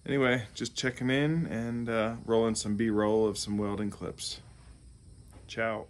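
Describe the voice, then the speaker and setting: A man's voice: lowish voice, a little slow. A man in his thirties chatting casually in a vlog, speaking into a phone held at arm's length outdoors. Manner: relaxed, offhand check-ins. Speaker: vlogger on a building project. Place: outdoors on a hillside site and road.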